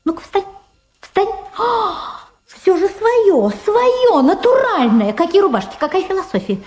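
A woman's voice: extremely high pitch, big pitch swings, unhurried, darkish tone, full character voice. An actress playing a gushing, vulgar, middle-aged former showgirl in a radio drama, rambling and exclaiming in colloquial speech. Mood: excited, pushy. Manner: effusive, rambling, colloquial, exclaiming and repeating herself. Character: gushing, vulgar, faded American showgirl reunited with her daughter. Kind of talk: Soviet radio drama acting.

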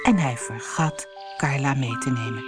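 A woman reading a children's fairy tale as scripted narration, with expressive, clearly phrased storytelling. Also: very deep voice, normal speed, music in the background.

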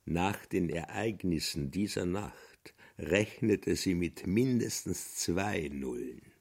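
A man's voice: low voice, expressive, takes it slowly. A man narrating a literary radio play.

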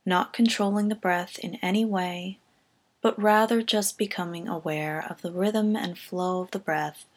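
Woman calmly guiding a meditation. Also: fairly low pitch. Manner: calm, gentle, measured delivery with soft phrase endings. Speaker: meditation guide. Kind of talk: scripted guided body scan meditation.

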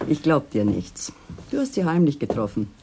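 Eine Schauspielerin spielt in einem deutschen Krimihörspiel eine eifersüchtige, verletzte, bitter anklagende Ehefrau mit hauchiger Stimme. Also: female voice, low-pitched, huge pitch swings, normal speed, background noise, exaggerated voice. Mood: jealous, accusing. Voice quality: husky, breathy, often near a whisper. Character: jealous, wounded, accusing wife, bitterly manipulative. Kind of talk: German radio crime drama acting.

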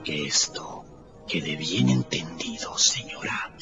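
A man's voice: a bass voice, takes it slowly. An actor playing an irritable, secretive male stranger in a radio drama, curt, formal and imperious. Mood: curt, tense. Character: secretive, irritable male stranger, a bandaged researcher seeking solitude. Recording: muffled radio drama with music underneath.

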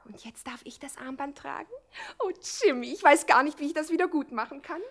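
A naive gangster's darling with an extremely high, girlish pitch. She gushes coquettishly in the style of old German radio comedy. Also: fairly quick, a bit bright. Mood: adoring, thrilled. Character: gushing, naive young gangster's sweetheart.

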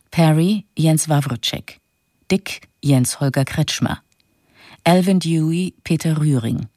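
A woman's voice: sits low, darkish tone, no echo, clean studio sound. A woman announcing the title and cast of a radio drama in a plain, matter-of-fact way. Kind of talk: reading title and cast list.

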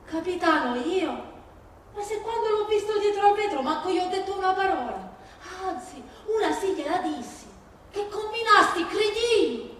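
A woman's voice: very high-pitched, animated, normal speed, a bit bright. A woman acting a fierce, grieving older Sicilian mother in an emphatic, plaintive stage monologue, with a Sicilian accent. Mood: indignant pleading. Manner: emphatic, plaintive, repeating phrases. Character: fierce, grieving Sicilian mother disowning her criminal son. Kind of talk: dramatic theatrical monologue on stage. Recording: very echoey hall from far, faint noise.

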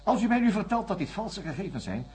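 An actor playing a stern, sarcastic research doctor in a science fiction radio play, with a curt, formal and forceful way of talking. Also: male voice, high-pitched, big pitch swings, fast talker, slightly rough, full character voice. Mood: angry, accusing.

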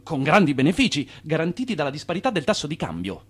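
A man's voice: a little high, wildly swooping pitch, quick pace, slightly rough, heavily acted. An actor in a radio play playing a scheming, evasive immigrant man who talks persuasively but hesitates under pressure. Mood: pleading, insistent. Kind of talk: theatrical radio play dialogue.

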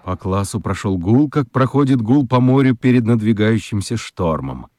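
A man narrating a children's radio play as an expressive storyteller, with deliberate dramatic pauses. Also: lowish voice, no echo, studio quality. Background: prominent music.

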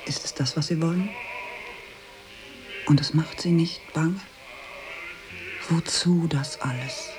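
An actress playing a mysterious, seductive apparition of an opera singer in a radio drama, speaking in a hushed, probing way. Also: female voice, sits low, steady tone, slow, pauses often, music in the background. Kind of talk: intimate radio drama acting.

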